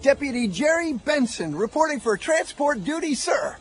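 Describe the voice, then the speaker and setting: Eager high-voiced young deputy. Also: male voice, sing-song, normal speed, over music, full character voice. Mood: eager, dutiful. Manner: folksy, earnest, chatty. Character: naive, eager young deputy, easily impressed. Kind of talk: audio drama acting. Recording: loud vehicle and outdoor noise.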